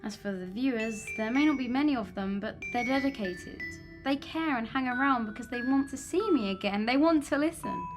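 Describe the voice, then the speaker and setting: Woman playing high-voiced, earnest young vlogger. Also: expressive, fairly quick, few pauses, over music, natural voice. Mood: vulnerable, confiding. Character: earnest, self-doubting young female vlogger. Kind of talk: audio drama acting.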